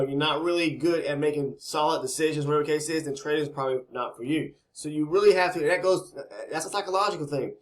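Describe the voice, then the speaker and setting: A man with a slightly raspy voice, talking casually and rambling as he explains trading, with many repeated words and frequent "okay?" tags. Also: on the high side. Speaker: trading educator.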